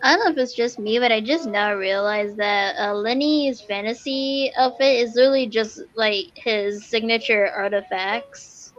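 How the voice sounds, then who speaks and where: A woman's voice: on the high side, moderate pace, hardly any pauses, lo-fi sound, soft background music. A young woman chatting casually over gameplay as a streamer, informal and easily amused. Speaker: streamer reacting to a game.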